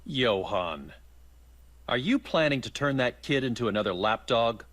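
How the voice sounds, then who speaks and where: A man's voice: mid-range voice, expressive, normal speed, a bit bright, no room sound. A man playing a sly, inquisitive scientist in a video game, with a wry, needling, theatrical way of talking. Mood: teasing, probing. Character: sly, inquisitive male scientist, teasing and knowing. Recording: background music under the voice.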